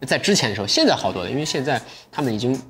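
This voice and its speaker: A man's voice: medium pitch, racing, faint music behind. A young man hosting a livestream from an indoor studio, chatting to viewers, selling goods and talking about a book. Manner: lively, talks straight to viewers. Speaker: livestream sales host.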